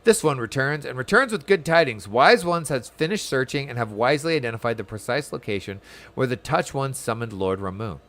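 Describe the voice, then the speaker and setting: A small winged messenger creature from a fantasy game, read aloud by a man, eager and deferential. It speaks politely in a medium-pitched voice. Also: expressive, a little fast, a bit bright. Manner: polite, speaks of itself in third person. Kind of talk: reading game dialogue aloud.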